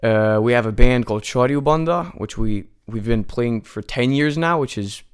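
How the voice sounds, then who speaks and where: A man's voice: mid-range voice, normal speed, smooth. A nineteen-year-old man from the United States, a guest chatting casually in a radio studio, with lots of fillers and restarts. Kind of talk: casual interview chat.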